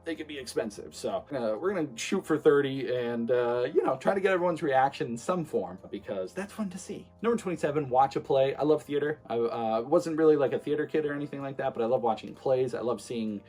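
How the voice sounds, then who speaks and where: Man, late twenties, streamer, casual rambling talk, average pitch, faint background music. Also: fairly quick, few pauses. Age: 20s. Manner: relaxed, rambling, many fillers and restarts. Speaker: streamer and vlogger.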